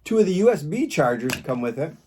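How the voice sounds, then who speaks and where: A man's voice: a little high, sing-song, fast talker. Plain-spoken man reviewing gear, noisy recording. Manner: plain-spoken, rambling, informal. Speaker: product reviewer. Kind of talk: casual unscripted review vlog. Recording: clear background noise with clicks.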